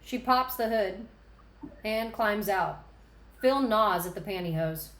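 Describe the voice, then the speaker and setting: A woman's voice: medium pitch, takes it slowly. Woman narrating screenplay in echoey room. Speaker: narrator reading stage directions. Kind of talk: scripted narration, screenplay table read. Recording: echoey room, background noise.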